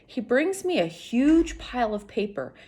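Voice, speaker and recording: A woman's voice: fairly high pitch, sing-song, unhurried, darkish tone, breathy edge. A woman reading a children's novel aloud expressively, as a teacher does for students, speaking directly to young listeners.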